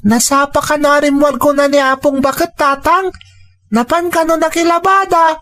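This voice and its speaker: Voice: high voice, steady tone, moderate pace, fairly bright tone, dry recording, clean studio sound. A performer playing an earnest, respectful young boy, a dutiful grandson, speaking politely with an Ilocano accent in an audio drama. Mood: questioning.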